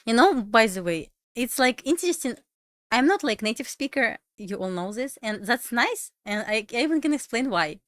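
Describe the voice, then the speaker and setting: A woman with a Russian accent, a native Russian speaker, chatting casually on a trading livestream in halting English with restarts. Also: a little high, animated, fairly bright tone, dry recording, studio quality. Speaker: livestream trader.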